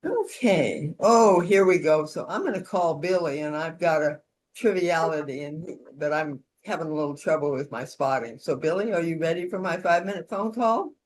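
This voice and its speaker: A woman's voice: low-pitched, lively intonation, moderate pace, rough recording. A woman leading an instructional session in an informal, conversational way, with role-played support calls. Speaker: session leader demonstrating peer-support calls.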